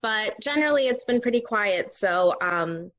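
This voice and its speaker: A woman's voice: mid-range voice, lively intonation, moderate pace, fairly bright tone. A woman who works as an actor, chatting casually on a remote call. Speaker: actor on a virtual table read. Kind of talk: casual chat.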